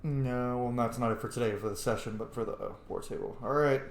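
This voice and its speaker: A man with an average-pitched voice talks casually through a video game. He often mutters to himself as he plays, and the room around him adds a clear echo. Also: fairly quick, slight background noise. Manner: mutters to himself while playing. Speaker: game streamer, let's player. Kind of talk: casual gameplay commentary.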